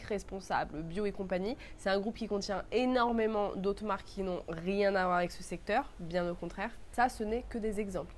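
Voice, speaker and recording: Young woman w a blocked nose, cold, chatting earnestly to camera, bit of hiss behind her. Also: mid-range voice. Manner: thoughtful, earnest, lists words in a row. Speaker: beauty vlogger. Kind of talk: casual reflective talk to camera.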